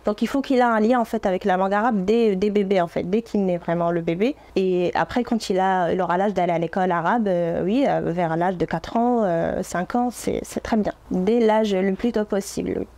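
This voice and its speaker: A woman in her thirties from Morocco, an Arabic speaker, answering interview questions as an Arabic teacher in an earnest, gentle, measured way. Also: mid-range voice, no echo.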